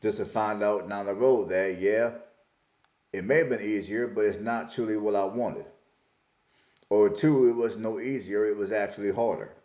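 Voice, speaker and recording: Reflective man in his forties, lowish voice. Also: fairly even intonation, moderate pace, a little mellow, reverberant. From Alabama, US. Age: 40s. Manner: musing, repetitive, plain-spoken. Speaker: vlogger. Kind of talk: casual reflective monologue.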